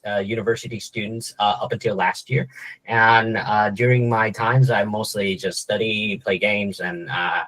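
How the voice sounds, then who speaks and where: Low-voiced young man from Vietnam. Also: rough recording. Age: 20s. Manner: casual, joking, many fillers and restarts. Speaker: guest speaker, startup co-founder. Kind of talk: presentation to students.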